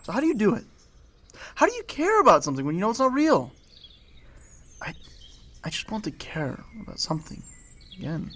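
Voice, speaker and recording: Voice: mid-range voice, huge pitch swings, brisk, long pauses, fairly bright tone. An actor voicing Billy, an earnest, disillusioned young alien hunter with a wounded air, in an audio drama. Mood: dejected. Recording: music under the voice.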